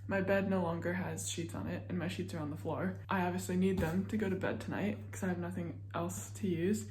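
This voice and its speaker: A woman's voice: lowish voice, steady tone, a little fast, darkish tone, echoey room, a little hiss or hum. A young American woman from upstate New York, chatting casually to her viewers with lots of fillers and self-teasing. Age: young adult. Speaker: vlogger. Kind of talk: casual vlog chat.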